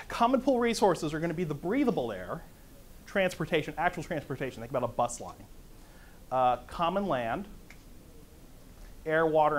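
A middle-aged man with a conversational, lively manner, lecturing to a conference audience in a meeting room. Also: medium pitch, slight background noise. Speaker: conference speaker. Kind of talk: lecture on economics.

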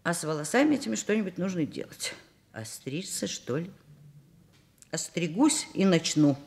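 An actress playing an overworked middle-aged professor and mother in a Soviet radio drama, expressive, wry and self-mocking. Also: lowish voice, moderate pace. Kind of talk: Soviet radio drama acting, first-person narration.

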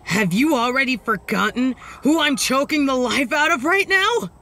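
A woman's voice: high-pitched, animated, moderate pace, full character voice. Woman playing a theatrical, vengeful supervillainess. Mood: furious, indignant. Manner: theatrical, menacing, swinging from hushed to shouted. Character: vengeful, bitter female supervillain, sardonic and protective. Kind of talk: dramatic audio roleplay acting.

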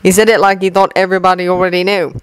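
A woman's voice: fairly low pitch, little pitch movement, very fast, clean tone, dry recording, lightly acted. A woman voicing a grumpy, suspicious teenage schoolboy wizard who grumbles doubtfully. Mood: grumbling.

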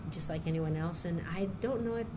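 Low-voiced middle-aged woman, unhurried and chatty. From Puerto Rico, raised near Chicago. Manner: unhurried, chatty, with many ums and restarts. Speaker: interviewee, university diversity director. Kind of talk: oral history interview. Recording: steady background noise.

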